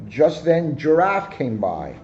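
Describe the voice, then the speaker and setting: A man reads a picture book to children at a slow, deliberate pace. His voice is mellow and a little high, with expressive storytelling. The recording sounds slightly dull. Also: slight background noise. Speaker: guest story reader, police officer.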